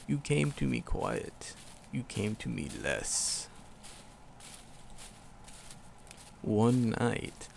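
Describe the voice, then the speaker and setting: A man voicing an ancient, hulking forest demon, tender and wistful, in a gravelly, poetic, slow-phrased ASMR roleplay. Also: sits low, a little hiss or hum, full character voice. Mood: sorrowful. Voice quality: gravelly, growling creak. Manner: slow, poetic, storybook phrasing with dramatic pauses.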